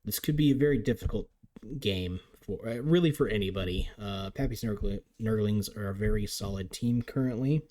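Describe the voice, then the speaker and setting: A man with a lowish voice calls a game live, chatty and upbeat as he runs through plays. His voice is turning a little raspy from long talking. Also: normal speed, dark tone. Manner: chatty, upbeat play-by-play with frequent restarts. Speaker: league commentator.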